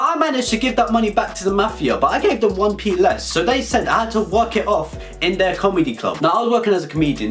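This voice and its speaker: A man's voice: sits high, fairly bright tone. A British man delivering an absurd comedic storytelling monologue in a rambling, mock-serious way. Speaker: comedy video creator. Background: background music.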